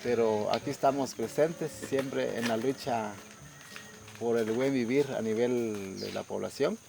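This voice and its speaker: A middle-aged man whose first language is Kaqchikel gives an earnest, steady testimony with pauses. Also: medium pitch, vocal fry, music in the background. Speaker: community resident sharing testimony. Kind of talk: testimony interview.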